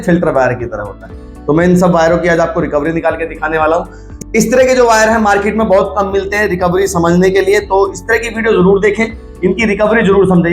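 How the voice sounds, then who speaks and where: Higher-voiced man explaining, music behind. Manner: instructional, repeats points step by step. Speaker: video host, scrap recycler. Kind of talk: hands-on demonstration with running explanation.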